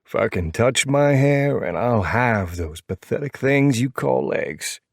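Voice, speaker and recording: A man acts out a pint-sized, hot-headed male biker from an audiobook. He has a thick New York accent, pitched up and husky, and snaps with combative attitude. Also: animated, slow, no echo, clean studio sound, full character voice. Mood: indignant.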